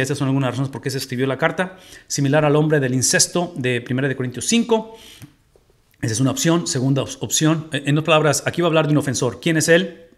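A middle-aged Mexican man with a Mexican Spanish accent, teaching a Bible study from a home room and putting rhetorical questions to his listeners. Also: medium pitch. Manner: animated, gestures while teaching, asks the audience rhetorical questions.

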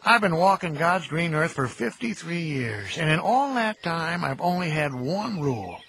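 A man's voice: fairly high pitch, sing-song, moderate pace, fairly bright tone, husky, exaggerated voice. An actor playing a folksy, kindly American graveyard caretaker in his fifties, plain-spoken and neighborly, in an audio drama. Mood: teasing, earnest. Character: folksy, kindly middle-aged graveyard caretaker who chats with the dead. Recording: noisy outdoor ambience with birdsong.

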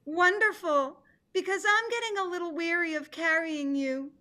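A woman's voice: very high-pitched, normal speed, bright, forceful tone. A middle-aged woman playing a patient, fretful, motherly sea turtle with coaxing delivery in a play reading. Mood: kindly, weary. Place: living room indoors. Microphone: computer mic on video call.

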